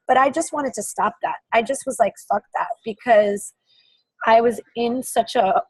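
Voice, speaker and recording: A woman's voice: medium pitch, breathy edge, no echo, low-quality recording. A woman author in a candid interview, talking earnestly and searchingly, often restarting her phrases.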